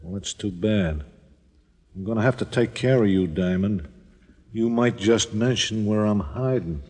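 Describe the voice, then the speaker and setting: A man's voice: fairly low pitch, lively intonation, measured pace, darkish tone, husky. An actor playing a tough, wary male gangster in a 1940s radio crime drama, speaking in blunt, clipped phrases. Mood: menacing. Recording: old radio recording, reverberant, faint noise, clicks.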